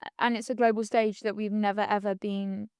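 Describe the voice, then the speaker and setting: A woman's voice: medium pitch, little pitch movement, a little fast, a little mellow, clear, resonant tone, no echo, clean studio sound. A woman, talking reflectively as a podcast guest, full of likes and you-knows. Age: 20s. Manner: chatty, reflective, many "like"s and "you know"s. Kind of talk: casual conversational interview.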